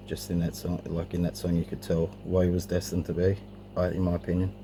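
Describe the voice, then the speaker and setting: A man with a deep voice full of vocal fry presents his collection casually. He speaks informally and without a script, hesitating now and then. The homemade recording has clear background noise. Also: completely flat delivery, brisk. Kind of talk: casual show-and-tell narration. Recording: clearly noisy amateur home recording.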